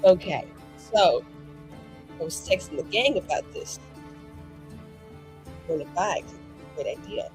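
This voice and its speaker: Mischievous young woman chatting over soft music. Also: lowish voice, lively intonation, a little slow, long pauses. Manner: sweary, theatrical, mischievous. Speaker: player. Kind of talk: casual, excited game chat. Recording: faint music behind. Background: soft background music.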